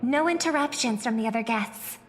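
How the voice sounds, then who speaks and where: Game heroine voiced by a woman: polite, gracious young lady, high voice, long reverb. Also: normal speed, a little hiss or hum, lightly acted. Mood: courteous request. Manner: courteous, gentle phrasing. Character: gracious, polite young female star singer. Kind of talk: scripted video game dialogue. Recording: reverberant game audio with music.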